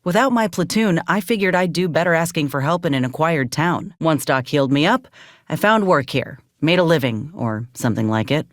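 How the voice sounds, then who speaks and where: An actress playing a wounded but loyal frontier ex-soldier in a video game, guarded and wry, with a folksy, plainspoken, dry manner. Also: female voice, sits low, fairly quick, dry recording, close to an everyday voice. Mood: earnest, determined. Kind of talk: video game character dialogue.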